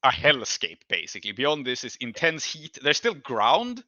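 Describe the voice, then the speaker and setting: A man running a tabletop role-playing game, improvising scene descriptions and rules calls in a halting, thinking-aloud way. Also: mid-range voice, sing-song, sharp tone, no echo, muffled recording.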